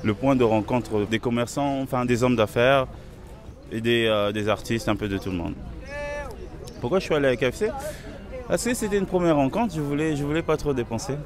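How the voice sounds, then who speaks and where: A man telling a personal story in a halting, hesitant way, recorded outdoors in a city. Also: mid-range voice, crowd noise. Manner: hesitant, many pauses. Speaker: interviewee. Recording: music playing over.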